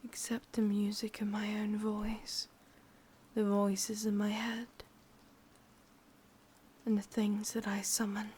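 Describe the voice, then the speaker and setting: A woman with an English accent voicing an ancient, lonely, lovesick witch in a breathy, husky whisper for an ASMR roleplay. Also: mid-range voice, monotone, darkish tone, a little hiss or hum, close to an everyday voice. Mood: brooding. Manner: slow, brooding, intimate delivery.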